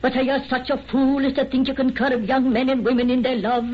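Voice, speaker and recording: A woman's voice: high voice, flat delivery, a little fast, close to an everyday voice. An actress playing a superstitious, doting middle-aged Irish mother in theatrical old-time radio drama. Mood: indignant, warning. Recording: dull, noisy vintage radio recording.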